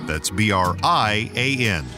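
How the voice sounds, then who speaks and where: A man's voice: on the low side, animated, slow pace. Enthusiastic man reading a promo over music. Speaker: podcast producer reading an ad. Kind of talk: upbeat ad read. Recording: ad read over loud music.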